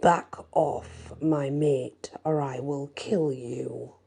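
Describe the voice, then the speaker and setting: A woman voicing a fierce, protective male alpha werewolf in a storybook, growling slowly with menace. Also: a bass voice, fairly even intonation, gravelly, dark tone, slightly rough, heavily acted. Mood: menacing.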